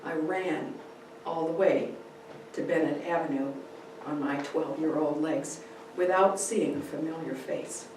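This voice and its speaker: A woman giving a theatrical, deliberate dramatic reading of a first-person story. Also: low voice, fairly even intonation, a little mellow. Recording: echoey room, distant mic, faint noise.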